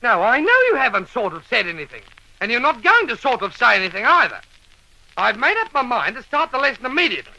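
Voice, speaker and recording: An actor playing a fussy, exasperated, stammering schoolmaster in an old-time radio comedy. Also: male voice, very high voice, big pitch swings, fast, gravelly, sharp tone, exaggerated voice, rough recording. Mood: stern, fussy. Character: flustered, exasperated old-fashioned male schoolmaster. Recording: vintage radio broadcast recording.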